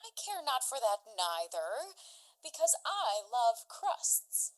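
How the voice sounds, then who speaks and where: A woman's voice: on the high side, very animated, takes it slowly, low-quality recording. A woman playing a wry, lovestruck clownish servant in a theatrical Shakespearean radio comedy. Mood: comic, wry. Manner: theatrical, bantering.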